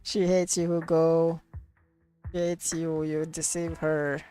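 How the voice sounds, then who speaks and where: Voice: mid-range voice, flat delivery, takes it slowly. Casual game streamer reacting over music.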